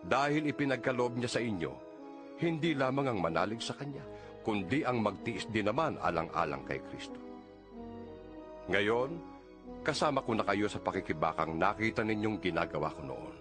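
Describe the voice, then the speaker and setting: A Filipino man reads scripture as a dramatized audio Bible narrator. His voice is on the low side and swings widely in pitch, with solemn, earnest, measured exhortation. Music plays clearly behind him. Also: lively intonation. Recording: music under the voice. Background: background music.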